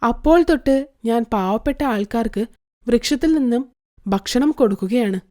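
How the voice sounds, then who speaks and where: A woman voicing a kind older mother-in-law in an animated story who speaks in a soft, low and gentle way. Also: moderate pace, lightly acted.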